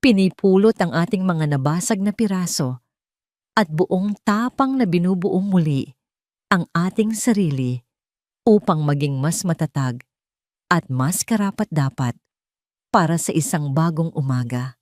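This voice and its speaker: A woman's voice: lowish voice, lively intonation, a little slow, darkish tone, dry recording, clean studio sound. A woman narrating a scripted story in an earnest, sentimental manner. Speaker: storyteller and host of a story channel.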